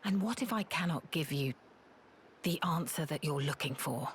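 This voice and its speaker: A woman's voice: deep voice, animated, moderate pace, a little hiss or hum. An actress voicing a proud, guarded woman in a video game drama, with a curt, sardonic manner. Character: bitter, proud, guarded woman, self-loathing rival sister.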